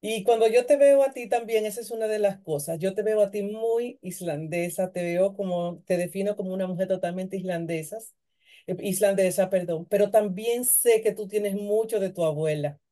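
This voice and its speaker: A woman's voice: mid-range voice, moderate pace, mellow. A middle-aged woman hosting a conversational interview over a video call. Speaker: interview host.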